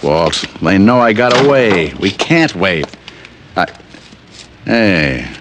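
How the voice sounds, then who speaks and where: An actor playing a tough, wry, wisecracking private detective in an old-time radio drama, with clipped hard-boiled delivery. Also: male voice, sits low, sing-song, slow, heavy background noise, heavily acted. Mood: brisk, sardonic. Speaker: lead actor and narrator. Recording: vintage radio recording with music.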